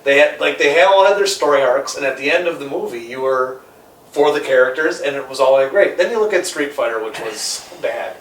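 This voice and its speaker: A man in a casual, opinionated group debate, speaking in a halting, repetitive way with some swearing. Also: medium pitch, expressive, a bit bright. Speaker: panelist on a film ranking show. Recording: echoey room, distant mic, faint noise.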